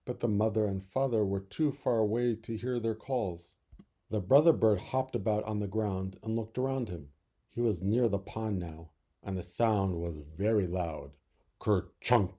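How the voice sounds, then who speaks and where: A man reading a children's story expressively, with deliberate, dramatic phrasing. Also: on the low side, mellow. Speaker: storybook reader.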